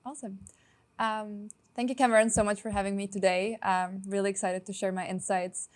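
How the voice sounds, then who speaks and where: A young woman from Estonia, a startup founder answering interview questions in a quick, upbeat way with many filler words. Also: mid-range voice, flat delivery.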